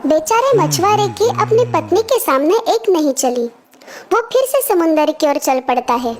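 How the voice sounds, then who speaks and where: A woman's voice: sits high, animated, normal speed, a little mellow. A woman narrating a scripted children's story. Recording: music behind the voice.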